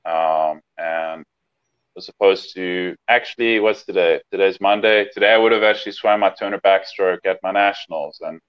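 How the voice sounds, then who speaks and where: A man's voice: deep voice, normal speed, clear, resonant tone. A young man in his twenties from South Africa, talking easygoing and plainly as a guest on a video call. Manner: easygoing, plain, many ums. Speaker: guest swimmer. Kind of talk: casual interview on a video call. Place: home room. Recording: dull lo-fi call audio.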